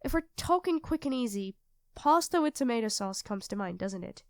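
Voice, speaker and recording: High female voice, acted sweet young girlfriend, hesitant, earnest, eager to please, ASMR roleplay, close dry sound. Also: lively intonation, brisk, dry recording. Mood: gentle, thoughtful. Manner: hesitant, soft-spoken, earnest, addressing a partner directly. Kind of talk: ASMR girlfriend roleplay, intimate scripted monologue.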